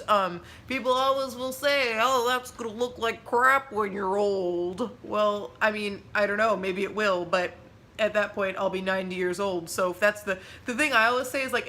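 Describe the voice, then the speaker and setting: A chatty young woman in her twenties talks straight to her viewers in a relaxed, rambling way, full of "like" and "um". Her voice is bright and a little sharp, and it leaps up when she gets excited. A faint hum of room ambience sits underneath. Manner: laughs easily, pitch jumps when excited. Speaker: vlogger. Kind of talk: casual chat to camera.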